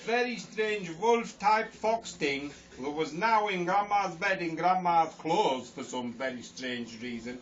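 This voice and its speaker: A man's voice: a little high, lively intonation, unhurried, hardly any pauses. A man telling an improvised comic story in a rambling way, giggling at his own jokes. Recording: distant lo-fi home recording with hiss.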